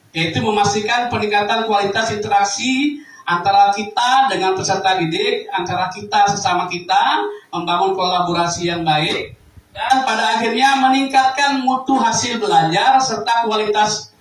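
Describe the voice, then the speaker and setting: Male educator, high voice, formal and emphatic presenter, recorded from a distance in a reverberant room. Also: a bit bright. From Indonesia. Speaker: school educator presenting an improvement project to teachers. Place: echoey room, presenting live to staff. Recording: echoey room, distant microphone.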